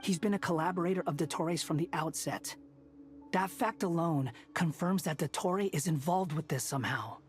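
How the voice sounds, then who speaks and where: A man's voice: fairly high pitch, normal speed, soft background music, lightly acted. Man as shrewd, self-assured young strategist. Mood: shrewd, explaining. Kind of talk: video game voice acting.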